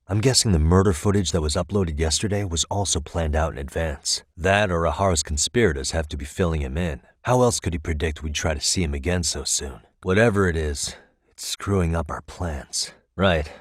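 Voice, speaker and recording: A male actor voicing a composed, determined private detective in a video game, with a measured, probing delivery. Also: low voice, no echo, clean studio sound. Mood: focused, determined. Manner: measured, probing, matter-of-fact. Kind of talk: English video game dialogue dub. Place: dry recording studio.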